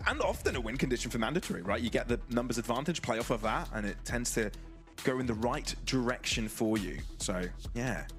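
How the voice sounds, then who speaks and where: A man doing live play-by-play commentary on an esports match, conversational and breaking into excited calls. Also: mid-range voice.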